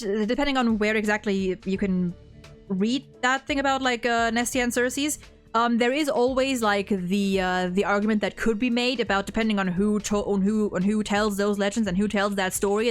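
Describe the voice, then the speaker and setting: High-voiced young woman chatting, sweary fan. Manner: informal, sweary, gushing fan talk. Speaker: host of a video game ranking discussion. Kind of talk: casual chat.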